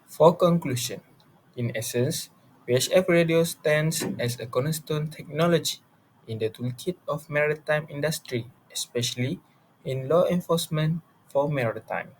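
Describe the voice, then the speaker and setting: Young man, slightly high pitch, formal scripted reading, student presenter, faint noise. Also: moderate pace, rough recording. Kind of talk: scripted presentation read aloud.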